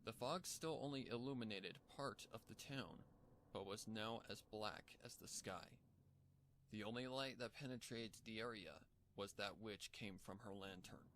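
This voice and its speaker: A man's voice: lowish voice, normal speed, fairly bright tone, a little hiss or hum. A man reading a horror story aloud as a dramatic narrator. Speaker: fan fiction narrator.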